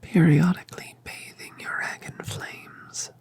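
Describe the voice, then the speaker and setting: A woman voicing a colossal, tender mother dragoness in a whispered ASMR roleplay, speaking softly and soothingly. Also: natural voice. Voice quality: breathy whisper. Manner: soft, slow, soothing.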